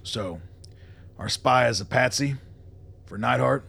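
An actor playing a weary, gruff old colonel in a radio play, with a gravelly voice and a blunt, folksy, wry way of talking. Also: male voice, mid-range voice, unhurried, a bit bright, no echo, heavily acted. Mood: wry, shrewd. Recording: noticeable background noise.

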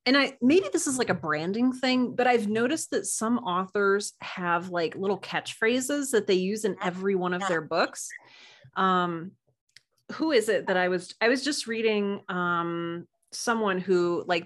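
A woman speaking as a podcast guest in casual chat, thinking aloud in a hesitant, conversational way. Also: fairly low pitch.

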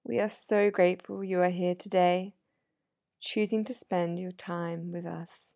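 A woman guiding a sleep meditation in a soothing, unhurried, gently instructive manner. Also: on the low side, flat delivery, vocal fry, no room sound, studio quality. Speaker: yoga nidra meditation guide. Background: soft sound healing instrumental music.

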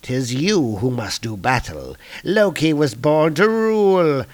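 A man's voice: fairly high pitch, big pitch swings, slow pace, pitched above the performer's own voice. A man voicing a gloating, scheming usurper prince in a comic-book story, with haughty, theatrical archaic speech.

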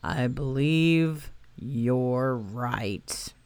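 A woman voicing a gloomy old grey male donkey in a storybook reading, speaking in a slow, sighing, mournful way. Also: very deep voice, clear, resonant tone, dry recording, voice pushed down, full character voice.

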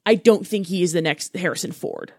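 A woman's voice: lowish voice, fairly quick, a little mellow, no room sound, clean studio sound. A young woman co-hosting a podcast, bantering casually about films in a playful, agreeable, slightly hesitant way. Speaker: podcast co-host.

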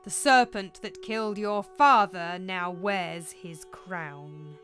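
A woman voicing the vengeful ghost of a murdered king in a storybook reading, intoning slowly and solemnly. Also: on the low side, fairly bright tone, faint music behind. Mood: grim. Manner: slow, drawn-out, solemn intoning.

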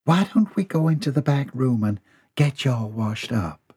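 A man voicing a fussy farm wife and mother, shrill and scolding. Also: expressive, unhurried, a little mellow, breathy edge.

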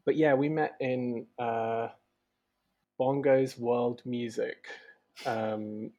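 A man's voice: mid-range voice, fairly even intonation, extremely slow, mellow, dull audio. A man in a band talking casually in an interview, hesitant and full of ums and likes. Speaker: band member, guitarist.

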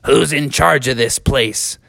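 A man's voice: medium pitch, slow, creaky, pushed and bright, dry recording, full character voice. A man voicing a curious little male frog in a children's storybook, speaking plainly in a voice close to his own.

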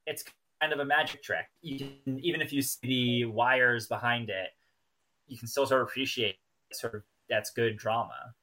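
A man's voice: mid-range voice, steady tone, normal speed, bright, forceful tone, rough recording. A man in a casual, analytical discussion on a TV review podcast. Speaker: podcast co-host.

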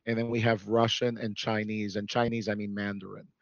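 A man born in New York City, a guest in a casual interview who talks in an animated way, laughs often and restarts his sentences. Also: fairly low pitch, brisk, no room sound, highs cut off.

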